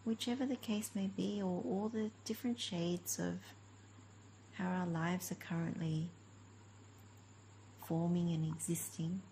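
A woman leading a guided meditation as a teacher, speaking gently in short, unhurried phrases with long pauses. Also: fairly low pitch, steady tone, a little hiss or hum, rough recording. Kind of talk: guided meditation, slow spoken instruction.

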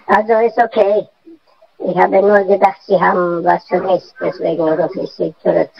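A woman's voice: lowish voice, flat delivery, normal speed, creaky, husky. A woman returning a missed call, hesitant and apologetic. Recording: dull lo-fi phone recording.

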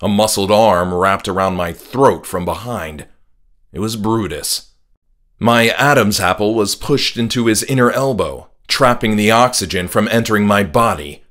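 A man's voice: on the low side, a little slow, fairly bright tone. A man reading a horror audiobook as a dramatic first-person storyteller. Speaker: author reading own audiobook. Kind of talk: scripted horror audiobook narration. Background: music.

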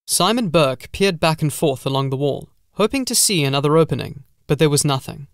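A male audiobook narrator reads a scripted gothic horror tale. His voice sits a little high for a man, and the recording is dry and close. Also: expressive, brisk, gravelly, no echo.

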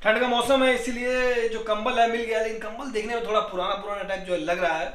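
A man's voice: high voice, steady tone, fast talker, fairly bright tone, dry recording. A young man in his twenties talking casually to viewers while travelling, filming himself on a phone held at arm's length. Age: 20s. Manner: chatty, addresses viewers directly. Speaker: travel vlogger. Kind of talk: casual travel vlog narration. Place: outdoors at a station and in a small hotel room. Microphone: phone camera held at arm's length.

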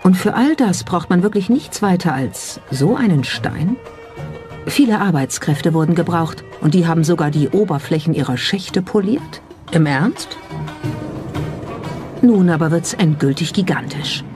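A documentary narrator delivers scripted lines at a medium pitch, with pointed skepticism and a rhetorical edge. Music plays clearly behind the voice. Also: lively intonation, measured pace, darkish tone. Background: background music.

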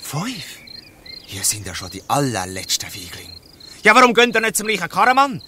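A man's voice: on the high side, sing-song, moderate pace, slight background noise, heavily acted. A man playing a brave, reproachful homeless boy in a Swiss German audio drama. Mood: indignant. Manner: reproachful, forceful. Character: indignant, brave homeless boy, new gang member. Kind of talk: dialect audio drama acting.

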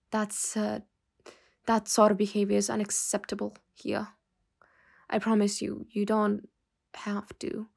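A woman playing a stern but softening mafia boss in an intimate ASMR roleplay, speaking in measured phrases with pauses, often nearly whispering. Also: mid-range voice, creaky.

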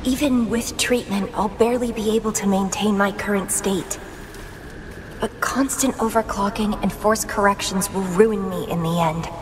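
An actress voicing a proud, obsessive young female warrior in a video game, with a theatrical, brooding, deliberate delivery. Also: medium pitch, steady tone, noisy background, lightly acted. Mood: bitter brooding. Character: proud, obsessive young female warrior, battle-hungry, fighting her own decline. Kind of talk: dramatic video game voice acting. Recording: long reverb, music behind. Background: game music.

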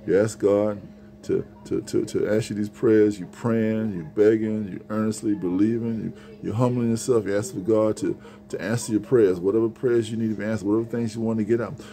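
A middle-aged man preaches in an earnest, conversational way, circling back and repeating his points. His voice is low, gravelly and mellow. He is recorded on a phone held at arm's length in a small room lined with shelves. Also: steady tone, fairly quick. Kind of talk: informal sermon. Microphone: phone camera at arm's length.

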